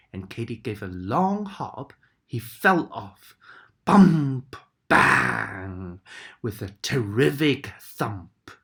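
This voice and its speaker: A woman reading a bedtime story aloud in a very low voice that swings up and down with feeling. She takes her time and sometimes drops into a whisper for the sad parts. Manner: expressive, dramatic, dips into whispers.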